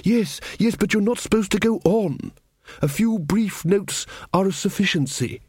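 A man's voice: a little high, animated, a little slow, slightly rough, dry recording, clean studio sound, pitched above the performer's own voice. A man doing a fussy stammering young king. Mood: earnest, fussy. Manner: pedantic, self-satisfied, stammering.

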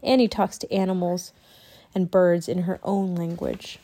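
A woman's voice: lowish voice, steady tone, measured pace, mellow. A woman voicing a cautious, sensible, slightly nervous boy in a storybook, in a hesitant, questioning manner. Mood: reassuring.

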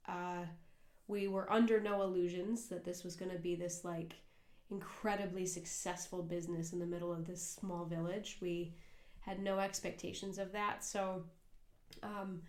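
A woman's voice: lowish voice, flat delivery, moderate pace, a little mellow. A young American woman co-hosting a casual podcast, talking earnestly and at length with plenty of fillers and restarts. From United States. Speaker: podcast co-host and cafe worker. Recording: echoey room.

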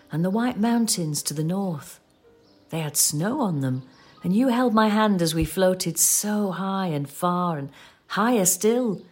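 An actress playing a patient, sorrowful female companion in an audio play, speaking in a gentle, measured way. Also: mid-range voice, breathy edge. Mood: wistful.

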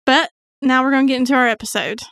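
A woman's voice: sits high, fairly even intonation, quick pace, fairly bright tone, no room sound. A woman co-hosting a podcast, chatting casually and informally with wry banter. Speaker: podcast co-host. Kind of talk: casual true crime podcast chat.

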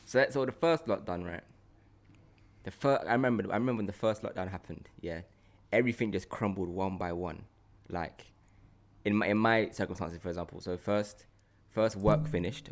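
A man with a fairly low voice and a London accent hosts a relaxed, conversational podcast. He speaks in informal slang and often repeats phrases to make his point. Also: normal speed. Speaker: podcast host. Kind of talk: casual chat.

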